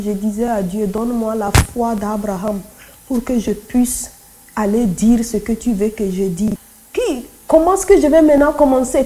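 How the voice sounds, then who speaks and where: A woman with a fairly high, very mellow voice shares her religious testimony. Her native language is Kotokoli. She tells it as a dramatic story, repeating phrases for emphasis and voicing the dialogue she quotes. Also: poor audio. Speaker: church testimony speaker.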